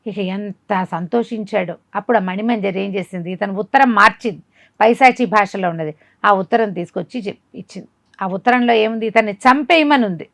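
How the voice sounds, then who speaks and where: A woman's voice: medium pitch, fairly quick, gravelly, fairly bright tone, dry recording. Woman storyteller, close dry sound. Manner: conversational, asks rhetorical questions as she narrates. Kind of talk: informal spoken telling of a folk tale.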